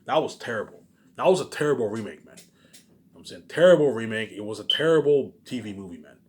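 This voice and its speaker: A man's voice: mid-range voice, lively intonation, fast, noisy background. A man giving a casual, unscripted monologue as a vlogger, speaking emphatically and slangily, repeating himself and letting phrases trail off into asides. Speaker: solo online vlogger.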